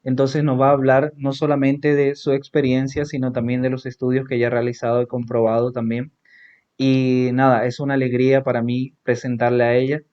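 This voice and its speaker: A man's voice: mid-range voice, completely flat delivery, fairly quick, darkish tone. A man with a Paraguayan accent hosting a casual podcast interview, talking with many fillers and tag questions. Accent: Paraguayan Spanish. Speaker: podcast host. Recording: dull lo-fi audio.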